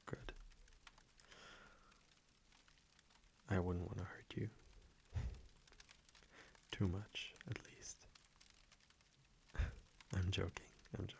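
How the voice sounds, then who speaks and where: A man playing an affectionate centuries-old vampire boyfriend in an intimate ASMR roleplay, speaking softly, hesitantly and teasingly into a close microphone. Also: deep voice, gravelly, a little hiss or hum, full character voice. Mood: playful, tender. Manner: soft, hesitant, teasing, chuckling between phrases.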